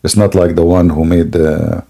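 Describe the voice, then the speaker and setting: A man hosting a live debate stream in a scoffing, jokey way, laughing often and clearing a sore throat. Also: low-pitched, flat delivery, moderate pace, dark tone. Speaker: livestream debate host. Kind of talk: mocking live debate chat.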